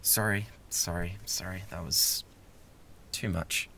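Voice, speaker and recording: A man with a British accent acting a bratty, obsessive young classmate in an ASMR roleplay, stammering and intense, shifting between soft pleading and outbursts. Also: low-pitched, fairly even intonation, slow pace, creaky, a little hiss or hum, heavily acted. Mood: tense, frustrated. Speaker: audio roleplay performer.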